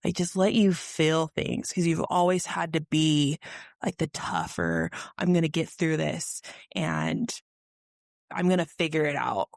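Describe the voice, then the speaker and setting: A woman's voice: low-pitched, animated, unhurried, gravelly, dry recording, clean studio sound. A woman co-hosting a podcast, blunt and teasing, swearing casually. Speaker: podcast co-host. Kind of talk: casual chat.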